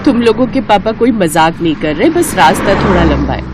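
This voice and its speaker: A woman's voice: mid-range voice, lively intonation, brisk, background noise, close to an everyday voice. A woman voicing a protective, slightly anxious mother in a cartoon story, with a soothing manner. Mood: reassuring. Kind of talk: cartoon moral story acting.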